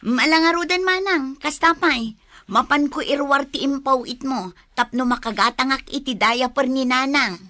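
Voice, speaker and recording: Woman as a weary, high-voiced caregiving wife. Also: normal speed, pushed and bright, no echo, clean studio sound. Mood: grateful, resigned. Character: weary, exasperated wife caring for her ailing mother. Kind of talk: radio drama acting.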